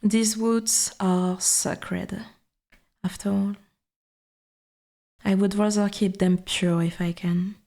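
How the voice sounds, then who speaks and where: A woman voicing an ancient, dominant forest god in an ASMR roleplay, speaking in formal, archaic wording with a breathy half-whisper and quiet menace. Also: mid-range voice, level tone, takes it slowly, long pauses. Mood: curious, looming.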